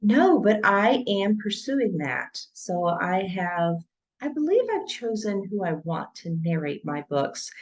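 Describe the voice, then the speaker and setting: A woman's voice: lowish voice, a little mellow, highs cut off. A woman who writes books, talking casually as a guest in a podcast interview.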